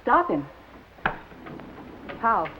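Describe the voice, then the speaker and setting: An actress playing a cold, self-serving accomplice in a 1950s film noir, sharp and skeptical in manner. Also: female voice, on the high side, sing-song, extremely slow, a little hiss or hum, heavily acted. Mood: disbelieving. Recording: muffled old film soundtrack.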